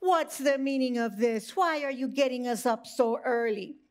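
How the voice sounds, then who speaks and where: A woman's voice: high voice, moderate pace, a little mellow, lightly acted. A woman voicing a mother duck from a children's storybook, with quacking, plain-spoken lines. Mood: grumpy. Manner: quacking, complaining. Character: grumpy mother duck, protective of her home.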